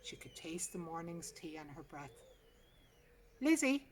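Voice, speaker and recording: Low-voiced woman reading a story outdoors. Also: steady tone, normal speed, a little hiss or hum. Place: outdoors in a garden. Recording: outdoor garden recording, distant mic, birdsong. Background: birdsong.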